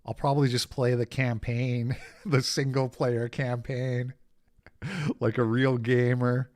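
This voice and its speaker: A man with a raspy voice hosts a casual livestream at an unhurried pace. He rambles, makes sarcastic asides and gets irritated easily, and his pitch sits in a middle range. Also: flat delivery, a little mellow. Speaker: host.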